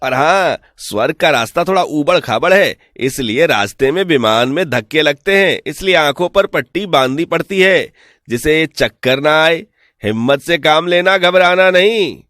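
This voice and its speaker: Man acting a sly, high-pitched village swindler. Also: animated, moderate pace, a bit bright, dry recording, clean studio sound. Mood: sly, persuasive. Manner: persuasive, boastful, mock-respectful. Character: sly smooth-talking male village swindler posing as returned from heaven. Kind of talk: animated Hindi folk tale voice acting.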